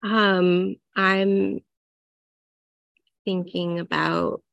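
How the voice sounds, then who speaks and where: A woman taking part in a workshop discussion, thinking aloud slowly and haltingly. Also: medium pitch, steady tone, creaky, fairly bright tone, no echo, dull audio. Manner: slow, halting, thoughtful. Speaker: workshop participant.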